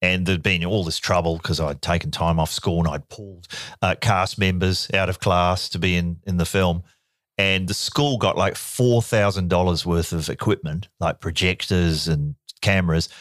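A middle-aged man co-hosting a casual chat, telling stories playfully and asking quick questions. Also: low-pitched, steady tone, fairly bright tone, no room sound, clean studio sound. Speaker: co-host.

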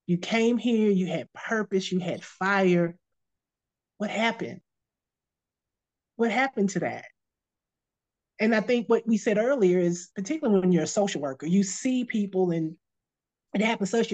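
A woman's voice: mid-range voice, a little mellow, dull audio. A woman in her fifties, a life coach, talking earnestly and encouragingly, often repeating phrases for emphasis. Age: 50s. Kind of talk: casual podcast chat.

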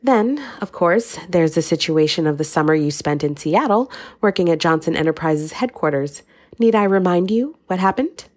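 A woman's voice: on the low side, lively intonation, moderate pace, darkish tone, dry recording, voice pushed up. A woman reading an audiobook, voicing a wealthy, middle-aged mother who speaks in a cool, steely, dry way. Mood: stern.

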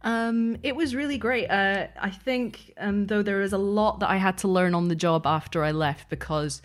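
A woman's voice: medium pitch, normal speed. A young woman giving casual, conversational answers as an interview guest. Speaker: guest, audio fiction producer.

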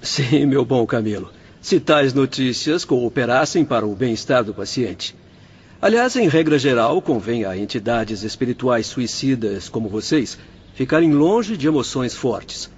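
An actor playing a young spirit doctor in a radio drama, explaining things formally and patiently. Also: male voice, medium pitch, expressive, unhurried, breathy edge, highs cut off. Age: young adult. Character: calm, instructive young spirit doctor and hospital director.

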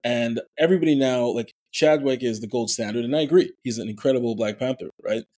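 A man, an actor, talking in a casual interview, animated and full of restarts, with a somewhat nasal voice. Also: mid-range voice, fast talker. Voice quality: nasal natural voice. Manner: animated storyteller, many fillers and restarts. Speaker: actor and voice actor, podcast guest.

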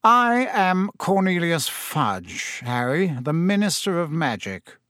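A man's voice: on the high side, very animated, takes it slowly, bright, forceful tone, no room sound, clean studio sound, pitched above the performer's own voice, full character voice. A man voicing a portly, testy middle-aged male official who speaks in a pointed, clipped way. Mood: formal.

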